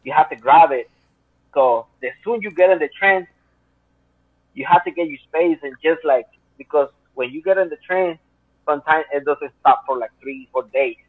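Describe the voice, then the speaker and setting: A man's voice: on the high side, moderate pace, breathy edge, no room sound. A man in his thirties from Central America, with a Spanish accent, telling his own story as an interview guest in an earnest, rambling way full of fillers. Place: home room. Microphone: video call. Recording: tinny phone-like call line.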